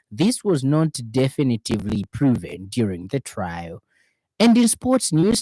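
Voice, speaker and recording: A male radio news presenter with a Zambian accent reads a bulletin from a script. His delivery is formal and measured, at a middling pitch. The sound is dry, as in a studio. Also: darkish tone, no room sound, poor audio.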